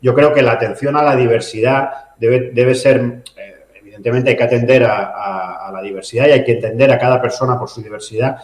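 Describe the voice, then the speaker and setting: A man's voice: medium pitch, steady tone. Catalan man answering in an echoey room. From Catalonia, Spain. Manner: measured, thoughtful, many filler "eh" and tag "¿no?". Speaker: mayor. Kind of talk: interview answers.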